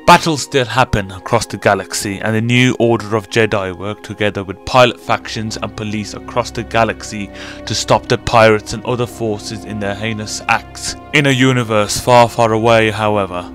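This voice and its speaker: A man's voice: lowish voice, steady tone, moderate pace, a bit bright, soft background music. A man reading scripted audiobook narration for a science-fiction story.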